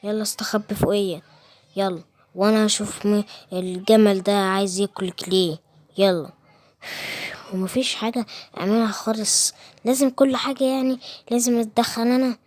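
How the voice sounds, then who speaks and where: A lazy cartoon lion king lounges and grumbles, then barks orders in a low, easy tone, as a woman plays him. Egyptian accent, dry and close to the mic. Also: normal speed, pauses often, no room sound, natural voice. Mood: grumbling. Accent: Egyptian Arabic. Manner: relaxed, grumbling, bossy.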